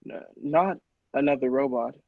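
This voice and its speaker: A man's voice: mid-range voice, moderate pace, creaky, dry recording, narrow, tinny audio. A man playing an earnest, shaken doctor in a radio play, agreeable and hesitant, with dramatic stammers. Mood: uneasy, puzzled. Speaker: podcast actor.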